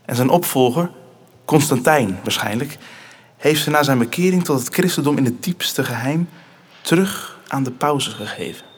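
A young male actor playing an earnest, determined teenage son in a radio drama, speaking intensely and haltingly. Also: medium pitch, expressive, slightly rough. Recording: music under the voice.

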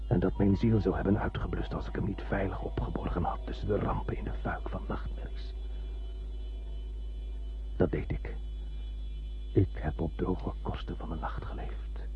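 A slow, deep, brooding spaceship captain. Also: male voice. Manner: solemn, theatrical, declamatory. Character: blind obsessed male spaceship captain, brooding, vengeful. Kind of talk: poetic radio drama monologue. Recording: muffled radio play recording, music behind.

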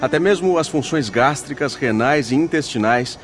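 Guy acting as a calm mission leader in a radio play, medium pitch, formal, faint music behind. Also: normal speed, lightly acted. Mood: composed, purposeful. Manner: formal, methodical explaining. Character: composed, devout male leader of spirit missionaries.

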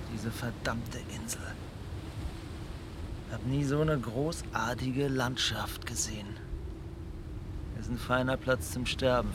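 Actor as a gruff, musing polar expedition man. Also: medium pitch, noisy background, lightly acted. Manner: blunt, swearing, musing. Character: gruff, weary polar expedition photographer, swearing, world-weary but tender. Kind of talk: German radio play acting.

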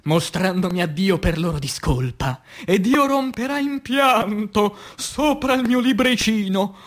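Theatrical man reciting poetry, high-pitched. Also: animated, a little slow. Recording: voice over music bed. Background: music.

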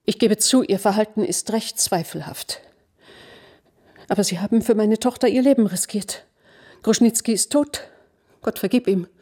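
Stately middle-aged princess in a radio drama, mellow mid-range voice, formal, pragmatic mum. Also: female voice, mid-range voice. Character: dignified middle-aged princess, wealthy, anxious, pragmatic mother. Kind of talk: German radio play acting.

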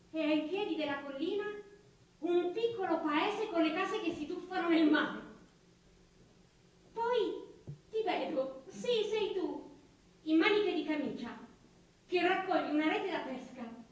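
A tender, childlike woman in a stage play, her voice high and slow to unfold. She speaks in wistful, halting phrases, dreamy and simple, heard from a distance in a very reverberant theatre. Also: lively intonation, muffled recording, heavily acted. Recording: very echoey theatre stage from afar, music.

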